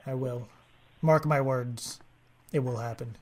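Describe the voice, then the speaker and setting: A man making a prank call, speaking in a deadpan, mock-earnest way with halting, rambling delivery. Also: mid-range voice, slight background noise. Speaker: prank caller, host of a prank call show.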